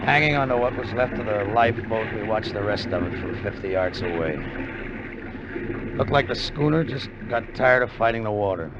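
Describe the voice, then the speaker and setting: An actor playing a greedy, scheming American sailor with a tough, plainspoken manner in a 1950 radio drama. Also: male voice, on the low side, animated, moderate pace, noisy background, natural voice. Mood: bitter, resigned. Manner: tough, plainspoken first-person storytelling. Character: greedy, scheming treasure-hunting American sailor, desperate crook. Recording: muffled vintage radio recording, music behind.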